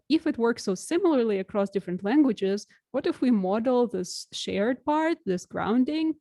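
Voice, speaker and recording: A woman's voice: medium pitch, dark tone, no room sound. Russian-native woman lecturing in a dry room. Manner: clear and measured, occasional fillers. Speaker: researcher giving a lecture. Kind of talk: recorded academic talk over slides.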